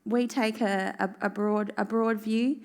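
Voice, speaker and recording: A woman giving a conference presentation on government services, her voice croaky, steady and informative with frequent fillers. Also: mid-range voice, a little slow. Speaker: government policy officer presenting.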